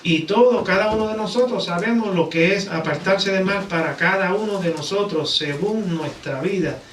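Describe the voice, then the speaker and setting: Male preacher, Puerto Rican accent, high voice, conversational sermon, rhetorical tag questions, distant mic. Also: moderate pace. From Puerto Rico. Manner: repeatedly seeks agreement with rhetorical tag questions. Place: church sanctuary. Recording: roomy, distant mic, background noise.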